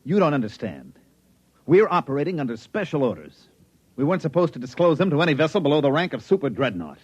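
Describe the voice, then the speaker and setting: A sardonic, uneasy sidekick in a dull, lo-fi vintage radio drama. His husky voice moves up and down a lot, with dry wisecracking delivery. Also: a little high, very animated, quick pace, a little mellow, no echo. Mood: bluffing. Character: anxious, sardonic sidekick posing as alien soldier. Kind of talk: 1950s radio drama acting.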